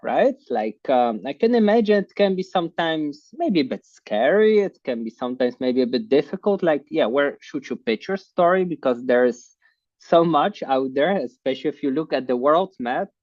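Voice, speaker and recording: A man hosting an online class on a video call, talking in a halting way with many fillers. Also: fairly high pitch, lively intonation, a little mellow, dry recording. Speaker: online course host. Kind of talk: casual online class talk. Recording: muffled video call audio.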